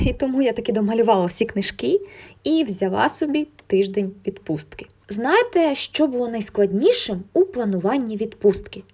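Young female vlogger, slightly high voice, casual first-person narration, chatty and open. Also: a little mellow, husky. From Ukraine. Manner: candid, conversational, colloquial. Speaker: illustrator and art vlogger.

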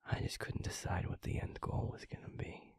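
A young man with an American accent playing an affectionate, teasing werewolf boyfriend in an intimate audio roleplay, in a hushed, breathy, husky voice. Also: lightly acted. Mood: tender, grateful. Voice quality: breathy, husky, gravelly low whisper. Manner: slow, hushed, intimate, pausing. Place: close, dry studio recording. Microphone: close microphone.

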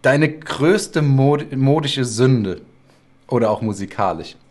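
A man of about forty with a medium-pitched voice hosts a relaxed, chatty interview. He sounds keen and talks in halting bursts, often interrupting himself to rephrase. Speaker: interview host. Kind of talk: casual interview chat. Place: small home studio room.

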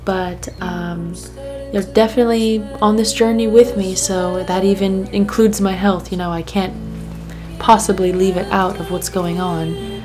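A woman's voice: mid-range voice, steady tone, unhurried. A young woman narrating her vlog in a casual, candid, upbeat way. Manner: upbeat, open and candid about hardship. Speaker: vlogger. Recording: voice-over over clear background music.

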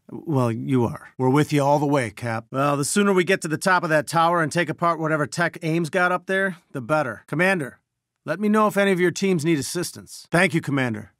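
An actor playing an earnest, wry scientist who leads resistance fighters, briefing in a conversational, clipped way in a video game. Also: male voice, a little high, fairly quick, a bit bright, no echo, clean studio sound. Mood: encouraging. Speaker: mission dispatcher giving orders and updates.

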